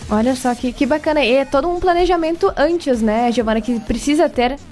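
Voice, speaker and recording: A woman's voice: high-pitched, a little fast, a little mellow, faint music behind. A woman with a southern Brazilian accent hosting a conversational radio interview, agreeing with and echoing her guest.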